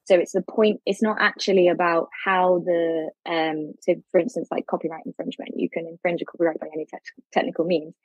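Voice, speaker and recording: Woman, husky, lawyer, measured explanations, muffled dry recording. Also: lowish voice, level tone, no echo. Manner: articulate, explains carefully with fillers. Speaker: lawyer guest. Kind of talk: podcast interview.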